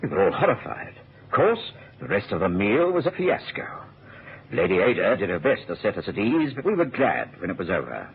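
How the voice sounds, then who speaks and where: An actor playing an earnest gentleman physician, recounting a case with dramatic storytelling in a radio drama. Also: lowish voice, huge pitch swings, fairly quick, vocal fry, background noise, exaggerated voice. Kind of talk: radio drama narration and acting. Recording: tinny old radio recording.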